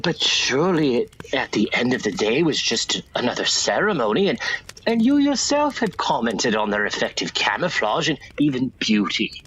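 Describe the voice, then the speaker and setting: A man acting in a radio play as a rational, probing listener, questioning in a reasonable, halting way. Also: medium pitch, big pitch swings, fairly bright tone, slight background noise, poor audio.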